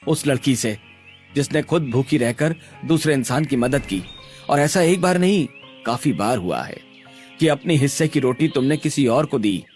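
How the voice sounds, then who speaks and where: A man's voice: medium pitch, expressive, normal speed, darkish tone, faint music behind, natural voice. A man voicing a devoted young tea-seller in love, sincere and reassuring, in cartoon story dialogue.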